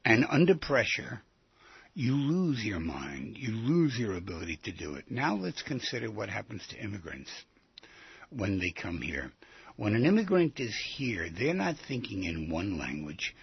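Husky, gravelly man hosting radio. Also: fairly low pitch, sing-song, normal speed, dry recording. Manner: probing, repeats and rephrases questions. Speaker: radio show host. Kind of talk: conversational radio interviewing. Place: radio studio. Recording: dull, muffled lo-fi radio audio.

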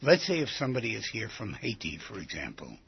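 A man's voice: lowish voice, huge pitch swings, fast talker, gravelly, slight background noise. A man hosting a conversational radio interview, probing his subject with repeated and rephrased questions. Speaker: radio show host. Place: radio studio. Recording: dull, muffled lo-fi radio audio.

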